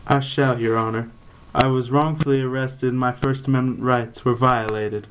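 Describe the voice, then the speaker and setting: A man's voice: medium pitch, steady tone, moderate pace, natural voice. A young man playing an indignant, formal plaintiff in an amateur puppet show. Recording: noisy amateur recording with room ambience.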